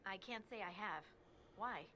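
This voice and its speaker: An earnest young dwarf scout. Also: female voice, medium pitch, unhurried, sharp tone, slight background noise. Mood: puzzled. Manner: earnest, plain-spoken. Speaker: video game character reporting to the player. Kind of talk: game dialogue acting.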